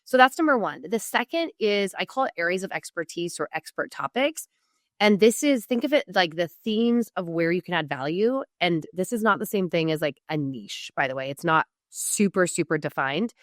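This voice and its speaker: American woman, lowish voice, dry close sound. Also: expressive, normal speed, no echo. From Oregon, United States. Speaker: podcast guest, PR strategist and business coach. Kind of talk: conversational interview, informal coaching.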